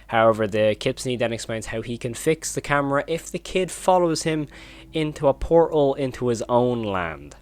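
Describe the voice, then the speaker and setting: A man's voice: medium pitch, moderate pace. A man hosting a horror video commentary, retelling and reacting in an informal, emphatic way.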